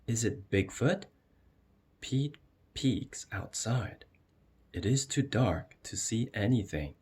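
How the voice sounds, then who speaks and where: A man reading a children's picture book aloud as an expressive storyteller. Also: fairly low pitch, slow pace, breathy edge. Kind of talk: expressive read-aloud narration.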